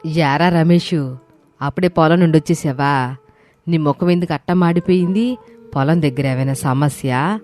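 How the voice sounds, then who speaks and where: A woman's voice: low voice, moderate pace, pauses often, a little mellow, faint music behind, natural voice. A woman playing an inquisitive village mother in a story. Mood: concerned.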